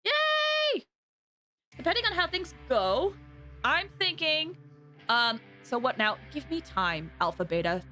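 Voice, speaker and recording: A woman chatting casually to viewers as she hosts a game livestream, in an animated, chatty manner, sounding a little tired. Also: sits high. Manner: animated, chatty, with excited exclamations. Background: background music.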